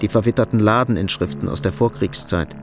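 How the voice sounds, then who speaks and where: A man's voice: lowish voice, a little fast, over music. A man reading scripted literary narration as the narrator of a radio drama.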